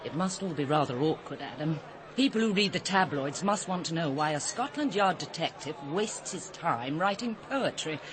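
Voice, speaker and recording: An actress playing a brisk, teasing, insistent woman writer, in a radio drama. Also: deep voice, lively intonation. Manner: gushing, insistent, arch. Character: brisk, bossy, teasing female cookery writer. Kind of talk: British radio drama dialogue. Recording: busy party crowd behind. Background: party chatter.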